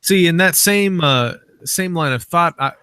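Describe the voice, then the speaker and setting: A man hosting an interview in a casual, conversational style. His voice is bright and forward, a little higher than most men's. The sound is dry and close, with no room echo. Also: unhurried, smooth. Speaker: interviewer, podcast host.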